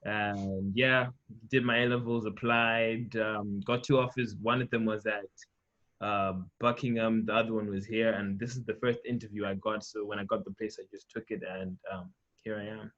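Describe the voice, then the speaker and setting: Young man, lowish voice, informal chat, muffled call recording. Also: level tone, normal speed, a bit bright. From Zimbabwe. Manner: informal, peppers talk with "like" and "you know". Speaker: medical student panellist. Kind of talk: casual chat. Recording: muffled online call audio.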